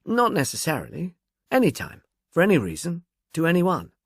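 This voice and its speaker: A businesslike male police inspector, voiced by a male narrator, putting questions in a probing, official way. The delivery is dispassionate in wording yet varied in pitch, recorded dry as audiobook dialogue. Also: huge pitch swings, moderate pace, slightly rough, no echo, exaggerated voice.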